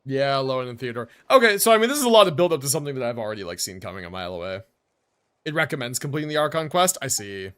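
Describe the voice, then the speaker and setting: A man's voice: mid-range voice, big pitch swings, rapid-fire, a bit bright, clear, resonant tone. A man streaming a game, reacting with casual, slangy live commentary.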